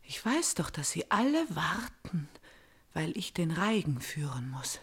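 Slow, fervent tragic princess. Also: female voice, low voice, very animated, fairly bright tone, breathy edge, heavily acted. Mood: entranced, breathy. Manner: declamatory, fervent, deliberate. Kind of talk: intense classical German radio tragedy acting.

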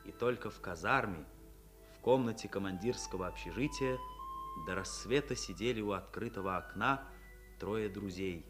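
A man's voice: on the low side, moderate pace. A man reading scripted narration for a 1950s Soviet radio play. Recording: music behind the voice. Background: music.

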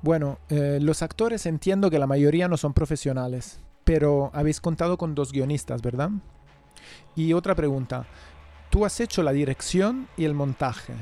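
A man's voice: fairly high pitch, normal speed, dark tone. A man hosting a conversational radio interview and asking questions.

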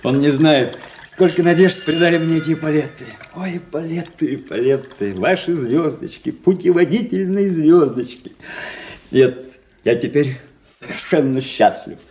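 An actor playing a vain, excitable young officer in a radio drama, theatrical, exuberant and pleased with himself, naively in love. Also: male voice, a little high, moderate pace, natural voice. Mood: elated. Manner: theatrical, exuberant, self-satisfied. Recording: roomy, echoing radio play studio.

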